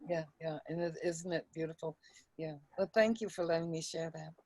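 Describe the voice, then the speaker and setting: Low-voiced woman, very dry sound. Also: a little fast, a little mellow, no echo. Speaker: reading group participant. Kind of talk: casual sharing and discussion.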